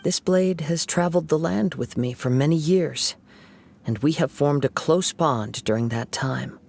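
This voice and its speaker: Calm young wandering samurai, gravelly. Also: male voice, fairly high pitch, slight background noise. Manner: calm, measured and reflective.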